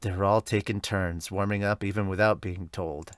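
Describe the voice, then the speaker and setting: A man voicing earnest high school baseball players, reading manga dub dialogue in a plain manner. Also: steady tone, moderate pace, no echo, studio quality, lightly acted. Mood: matter-of-fact.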